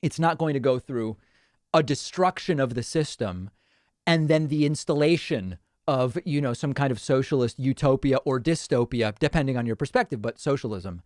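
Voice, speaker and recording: A man hosts a relaxed live stream, reading out comments and musing with quick restarts and small laughs. His voice sits at an ordinary pitch, recorded close and dry with no room sound. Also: dry recording. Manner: chatty, thinking aloud with restarts and small laughs. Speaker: host. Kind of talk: casual livestream chat reading viewer comments.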